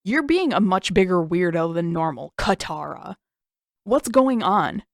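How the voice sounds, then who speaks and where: A woman's voice: fairly low pitch, big pitch swings, a little slow, no echo, studio quality. A woman voicing a cheeky, smug teenage boy in an audio story, nosy and teasing. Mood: suspicious.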